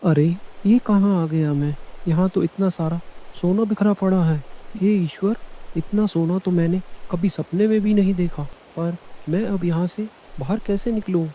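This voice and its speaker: A man voicing a frightened, humble fisherman in an animated fairy tale, earnest and pleading. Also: sits high, normal speed, low-quality recording. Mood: amazed.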